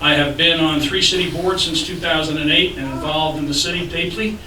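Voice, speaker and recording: A man speaks in a measured, businesslike way at a candidate debate, his voice sitting a bit high for a man. He is far from the mic, and the echoey room and steady noise come through clearly. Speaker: city commission candidate. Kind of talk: debate opening statement. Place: public library meeting room.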